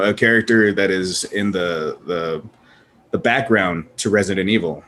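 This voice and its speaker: A man's voice: on the low side, a little hiss or hum. A man talking casually and emphatically as host of a panel discussion, rambling through his opinions. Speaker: podcast host.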